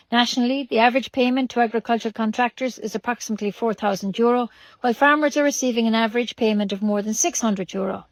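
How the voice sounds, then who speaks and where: A woman's voice: a little high, no room sound. A woman with an Irish accent reading scripted local news as a community radio newsreader.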